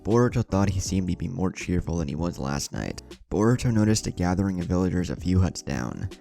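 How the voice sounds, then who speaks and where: A man's voice: low voice, animated, fairly quick, creaky, warm tone. A man reading a scripted story aloud as narrator over music. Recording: music bed under the voice.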